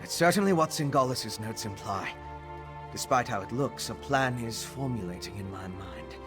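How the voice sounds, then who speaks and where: A man's voice: medium pitch, animated, moderate pace, lightly acted. A man playing an earnest, troubled but focused scholarly alchemist in a fantasy video game, explaining things thoughtfully and carefully. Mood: thoughtful, intrigued. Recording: prominent game music behind the voice.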